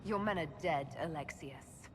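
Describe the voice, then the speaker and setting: An actress playing a resolute, blunt heroine in a video game, speaking firmly and directly. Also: female voice, low-pitched, normal speed, natural voice. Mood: challenging. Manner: firm, direct. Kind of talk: video game cutscene acting. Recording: reverberant hall with loud music behind.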